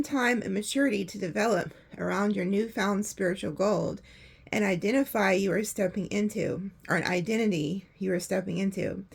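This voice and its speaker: A woman with a hoarse voice, chatting casually through a tarot reading and laughing often. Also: medium pitch. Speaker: tarot reader.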